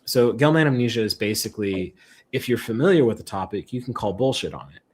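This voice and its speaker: A man who is a guest expert, explaining in a relaxed way with many fillers and acted-out quotes. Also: medium pitch, fast talker, slightly rough. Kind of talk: casual explanatory chat.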